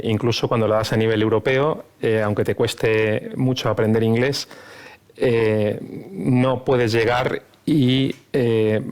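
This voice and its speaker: A man on an online panel, speaking emphatically with frequent hesitant pauses. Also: medium pitch, darkish tone. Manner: emphatic, many filler "eh" pauses. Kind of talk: panel discussion. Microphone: video call.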